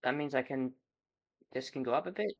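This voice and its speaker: A man's voice: medium pitch, a little fast, creaky, highs cut off. A man chatting casually as he reacts live to a game on stream.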